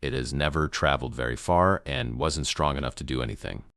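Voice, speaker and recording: A man playing a grave emergency news broadcaster in an amateur apocalypse broadcast, gravelly, solemn and halting in his delivery. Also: a bass voice, fairly bright tone, dry recording, clean studio sound, full character voice. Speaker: news anchor.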